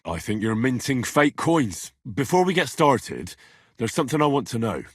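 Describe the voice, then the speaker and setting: A man's voice: mid-range voice, sing-song, moderate pace, husky, no echo, clean studio sound. A man playing a young, plain-spoken medieval adventurer in a video game, wry, earnest and easily exasperated. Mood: earnest, conversational. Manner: earnest, blunt, exasperated, conversational. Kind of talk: video game dialogue acting.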